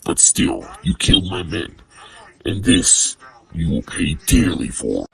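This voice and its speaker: Menacing male brute for fan fiction audio, acted by a man: proud, vengeful, deep, breathy and gravelly. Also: very animated, sharp tone, heavily acted, poor audio. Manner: deliberate, formal, threatening.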